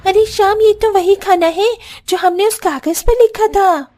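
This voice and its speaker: A hopeful little girl from a cartoon tale. Her voice is extremely high and childlike. She speaks eagerly and innocently. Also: unhurried, a little mellow. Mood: amazed. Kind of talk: Hindi cartoon story voice acting.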